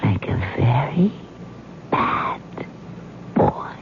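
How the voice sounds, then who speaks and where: Sultry, slow-talking seductress familiar. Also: female voice, rumbling low, sing-song, whispered, exaggerated voice. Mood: seductive. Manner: sultry, breathy, languid. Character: seductive female cat familiar in human shape. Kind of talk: old-time radio drama acting. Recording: muffled old radio broadcast, music behind.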